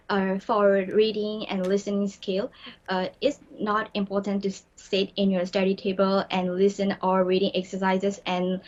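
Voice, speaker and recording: A woman's voice: mid-range voice, muffled recording. Earnest young woman from Myanmar. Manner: earnest, with frequent restarts and fillers. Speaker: graduate student guest. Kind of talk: studio interview answers.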